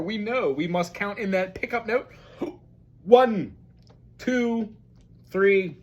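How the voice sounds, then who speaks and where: A man's voice: high voice, slightly rough. A man teaching a casual ukulele lesson, talking through chords in a friendly, chatty way and singing along. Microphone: recorded alongside his own ukulele playing. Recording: ukulele loud under voice.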